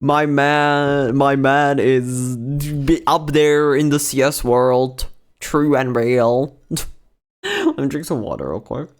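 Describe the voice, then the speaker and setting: A young man with a worn-out, hoarse voice, chatting casually and profanely to his stream from his bedroom, with lots of restarts and slang. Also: mid-range voice, lively intonation, slow pace. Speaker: VTuber streamer. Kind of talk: casual livestream chat. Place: own bedroom.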